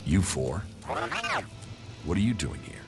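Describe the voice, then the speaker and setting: Super deep gravelly game commander, cold n curt, dry humor, music behind him. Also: male voice, animated, a little slow. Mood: curt, demanding. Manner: terse, dry, sardonic. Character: gruff, cold male alien-faction commander, curt and weary. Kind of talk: video game acting.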